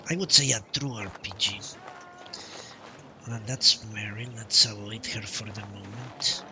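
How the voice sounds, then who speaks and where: A man doing casual live commentary as a game streamer, rambling in halting, broken-up phrases. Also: lowish voice, background noise. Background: game music.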